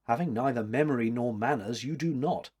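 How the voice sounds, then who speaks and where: A man's voice: mid-range voice, fairly quick, a little mellow, husky, close to an everyday voice. A man voicing a stately older woman in a storybook, blunt and teasing, with formal, arch wit. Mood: amused, stately.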